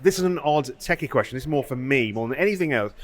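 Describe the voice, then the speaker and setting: A man's voice: mid-range voice, animated, quick pace. Rambling male podcast host. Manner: rambling, restarts, asks long questions. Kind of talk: casual interview chat.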